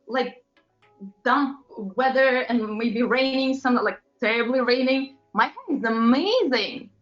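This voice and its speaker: A woman's voice: high voice, fairly bright tone. A woman from Latvia, a pop singer, chatting as an interview guest, with frequent fillers and laughs. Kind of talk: casual interview chat. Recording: roomy, dull lo-fi sound with faint music.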